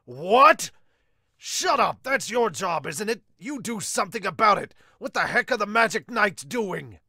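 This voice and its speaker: A man's voice: sits high, big pitch swings, a little slow, creaky, sharp tone, slightly rough, dry recording, studio quality, voice pushed up, heavily acted. A man voicing a haughty, irritable king in a manga dub, pompous and demanding. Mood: angry panic.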